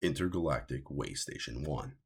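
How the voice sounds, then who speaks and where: A man narrates science fiction in an extremely low voice. It is full of gravelly fry and husky grain. His reading is measured and deliberate, with lively swings in pitch for emphasis. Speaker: author reading his own story.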